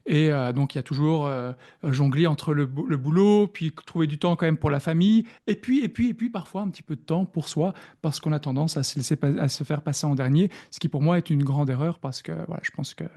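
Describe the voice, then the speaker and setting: A Belgian man with a Belgian French accent, a productivity coach explaining his work in a podcast interview, thoughtful and halting with many fillers. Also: a little high, dark tone. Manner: reflective, hesitant with frequent fillers.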